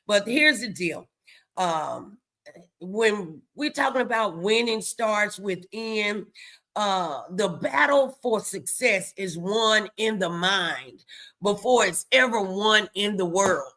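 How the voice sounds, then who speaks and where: Female motivational host, faith-based exhortation. Unhurried, bright and forceful, emphatic, talks straight to the viewer. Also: mid-range voice, lively intonation. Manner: emphatic, repetitive, addresses viewers directly. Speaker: host of a morning motivation live stream.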